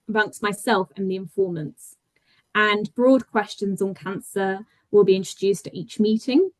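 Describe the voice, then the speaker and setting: A woman's voice: mid-range voice, low-quality recording. A woman with a British accent, from Spain, presenting her research project in a thoughtful talk with frequent fillers and self-corrections. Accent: British English. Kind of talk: conference talk.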